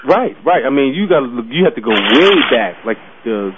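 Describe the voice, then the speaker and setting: A man's voice: mid-range voice, expressive, a little fast. A man hosting a casual radio interview, putting in brief responses and prompts. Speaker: radio show host. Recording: phone-like narrow sound with crowd murmur.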